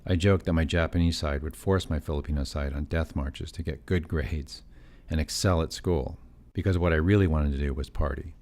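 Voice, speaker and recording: A man's voice: a bass voice, steady tone, normal speed, few pauses, darkish tone. A middle-aged man from California narrating his own family story in scripted documentary style, with wry, self-deprecating asides.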